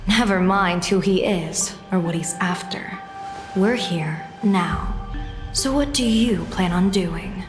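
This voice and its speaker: A woman playing a calm, shrewd strategist in a video game, speaking in a measured, formal way. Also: on the low side. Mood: calm, persuasive. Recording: echoey hall reverb, music behind.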